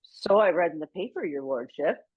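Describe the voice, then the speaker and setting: Radio comedy role: servile, tactful steward played by a woman, hesitant and expressive in pitch, slightly dull sound. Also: moderate pace, slightly rough. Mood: deferential. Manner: obsequious, hesitant, careful politeness. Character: deferential, flattering club steward serving his lordship. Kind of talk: radio play comedy acting.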